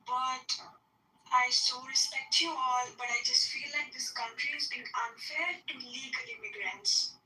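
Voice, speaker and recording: A woman's voice: high voice, steady tone, unhurried, sharp tone. A woman with an Indian accent speaking politely and apologetically in a casual political discussion. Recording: distant echoey online audio, faint noise.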